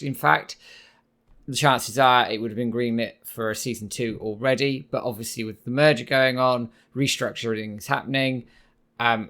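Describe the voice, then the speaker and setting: A hoarse man hosting a casual news commentary video, hesitant with many filler words. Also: mid-range voice, fairly bright tone. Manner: hesitant, many ums and sort-ofs. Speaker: host.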